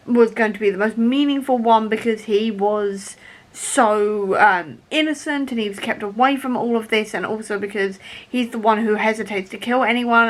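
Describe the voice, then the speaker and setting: Give the voice of a woman with a fairly high pitch, chatting informally in the style of a reading vlog. She is animated and emphatic, often repeating a phrase to stress it. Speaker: book vlogger. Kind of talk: casual reading vlog chat.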